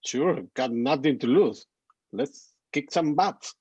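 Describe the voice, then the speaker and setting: A man's voice: on the high side, slow, a little mellow, slightly rough. A man playing an easygoing, slightly wary neighborhood sidekick in a screenplay table read, with a laid-back, slangy manner. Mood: game, casual. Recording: muffled, roomy sound.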